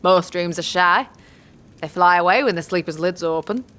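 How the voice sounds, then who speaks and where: A woman's voice: fairly low pitch, normal speed, fairly bright tone, close to an everyday voice. A woman playing a shrewd, dryly kind old healer in a fantasy audio drama, with folksy, teasing, musing speech. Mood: wry, musing.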